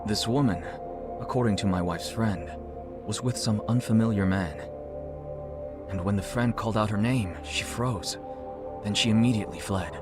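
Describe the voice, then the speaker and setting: Polished game-drama CEO, played by a man: middle-aged, fairly low voice, measured, articulate, formal, music underneath. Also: lightly acted. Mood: earnest, hopeful. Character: polished, courteous male CEO and grieving widower. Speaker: company executive, client. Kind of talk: English-dubbed video game drama dialogue.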